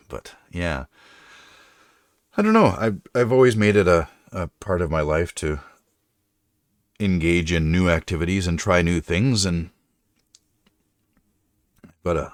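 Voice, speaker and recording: A middle-aged man talks with an extremely low, deep voice, rambling and often correcting himself mid-sentence. It is a relaxed chat with his live viewers. The sound is dry and close. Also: no echo. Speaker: livestream host. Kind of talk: casual livestream chat.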